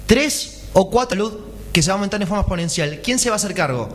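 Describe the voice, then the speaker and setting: A man's voice: sits high, animated, fast talker. A man, a pharmacist giving a radio health column, explaining step by step and asking rhetorical questions.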